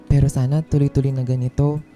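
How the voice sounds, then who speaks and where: Guy acting as stressed young filipino office worker in a radio drama, really dark soft voice, plaintive, music under. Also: mid-range voice, little pitch movement, fast, natural voice. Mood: weary, worried. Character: weary, stressed young male office worker confiding in a friend. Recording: music bed under dialogue.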